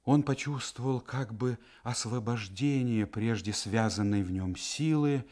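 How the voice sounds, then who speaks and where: A middle-aged Russian man giving a measured, solemn literary reading for radio. He rises to tense urgency in places and drops to a hushed near-whisper in others. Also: mid-range voice. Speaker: narrator reading a classic novel. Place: radio studio.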